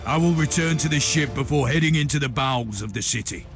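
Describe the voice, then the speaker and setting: An actor playing a stern, commanding Inquisitor in a video game, speaking with deliberate authority and dry irony. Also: male voice, mid-range voice, fairly bright tone, lightly acted. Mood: resolute. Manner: authoritative, deliberate, dryly ironic. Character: stern, commanding male Imperial Inquisitor, dryly sardonic. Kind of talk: scripted video game voice acting. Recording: music behind the voice.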